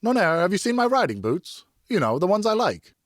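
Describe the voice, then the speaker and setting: An earnest, fussy married French marquis, acted out by a male narrator in an audiobook novel. He speaks expressively and plaintively, pitched above the reader's low narration. The recording is dry. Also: big pitch swings, a little fast, no room sound. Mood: puzzled. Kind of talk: audiobook character dialogue.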